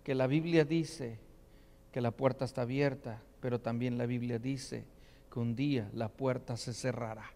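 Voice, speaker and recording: A man's voice: medium pitch, fairly even intonation, moderate pace, warm tone, long reverb tail. A man preaching a sermon in earnest, often stopping to ask rhetorical questions. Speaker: pastor. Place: church sanctuary.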